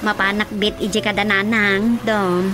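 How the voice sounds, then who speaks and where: An actress with an Ilocano Filipino accent playing a gently nagging village woman in a radio drama. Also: mid-range voice, flat delivery, normal speed, close to an everyday voice. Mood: casual. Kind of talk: radio drama comedy acting. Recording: loud music bed under voice.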